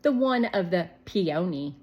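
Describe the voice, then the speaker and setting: A woman's voice: fairly low pitch, animated, unhurried, husky, muffled recording. A woman voicing an earnest, reserved young boy in a storybook, matter-of-fact and a little guarded.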